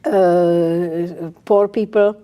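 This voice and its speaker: An older woman from the Czech Republic with a Czech accent, a guest chef speaking in a casual interview, halting and searching for words. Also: lowish voice, fairly even intonation, dark tone.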